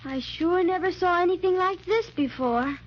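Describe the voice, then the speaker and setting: An earnest, curious little girl in a 1930s radio serial. Her voice is high and full of wide-eyed politeness. The recording is dull, with faint noise. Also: normal speed. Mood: awed. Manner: earnest, marveling. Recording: muffled, noisy 1930s radio transcription.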